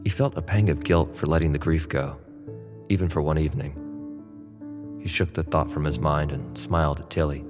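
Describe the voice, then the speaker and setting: A man narrating an audio drama in a low, steady voice. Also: moderate pace, music in the background. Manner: low steady narration, pushier and louder in Nate's lines. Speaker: narrator and actor playing Nate.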